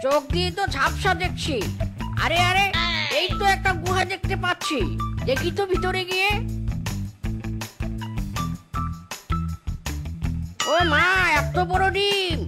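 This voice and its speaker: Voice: very high voice, moderate pace. A performer voicing a foul-mouthed, blustering male fox chieftain, a cartoon villain. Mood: greedy. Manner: blustering, threatening, swearing. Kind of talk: cartoon character acting. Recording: loud music behind the voice.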